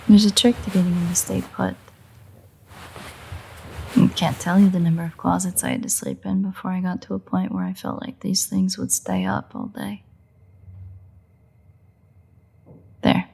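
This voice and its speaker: A woman's voice: fairly low pitch, vocal fry, warm tone, slightly rough, full character voice. A woman voicing a playful, cocky vampire in an intimate audio roleplay, drawling and teasing with wry sighs. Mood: wry, casual.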